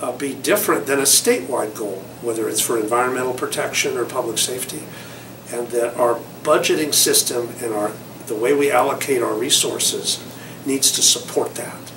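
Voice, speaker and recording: A man's voice: mid-range voice, normal speed. Older man recalling, echoey and distant. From Southern California. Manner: rambling, thoughtful, many fillers. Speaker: award recipient interviewee. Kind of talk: reflective interview recollection. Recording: echoey room, mic far off, noisy.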